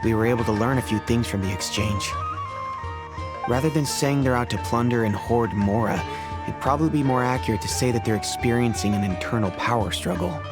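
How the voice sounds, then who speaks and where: A man's voice: medium pitch, a little fast, loud music behind the voice, lightly acted. A man acting a friendly, chatty desert mercenary in a video game, talking in an informal, explanatory way. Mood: serious, explanatory. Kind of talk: voiced video game dialogue.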